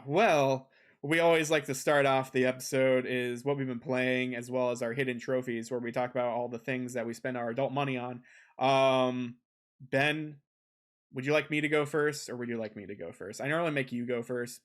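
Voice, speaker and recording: A man's voice: medium pitch, steady tone, moderate pace. A man co-hosting a casual gaming podcast, rambling with lots of filler ums, recorded on a desk condenser mic at a home setup. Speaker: podcast co-host.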